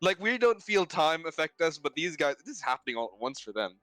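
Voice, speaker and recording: A man's voice: fairly high pitch, big pitch swings, fairly quick, music in the background. A man joking casually on a group game stream, playful and theatrical, with some swearing. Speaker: guest on a group livestream.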